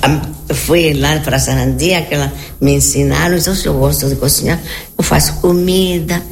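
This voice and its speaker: Elderly Argentine-accented woman, creaky voice. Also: sits low, steady tone, normal speed, faint music behind. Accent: Argentine Spanish. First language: Spanish. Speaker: interview guest. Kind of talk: casual conversational interview answers.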